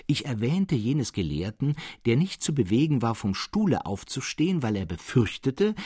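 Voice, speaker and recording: A man narrating a literary tale in an expressive, measured, articulate and dramatic way. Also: fairly low pitch, breathy edge, no room sound, clean studio sound.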